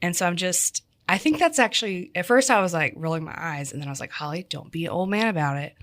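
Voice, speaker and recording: A slightly hoarse woman hosting a dining podcast, chatting casually with jokey asides. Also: fairly low pitch, expressive, fast, fairly bright tone, dry recording, clean studio sound. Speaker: podcast host. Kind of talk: casual interview chat.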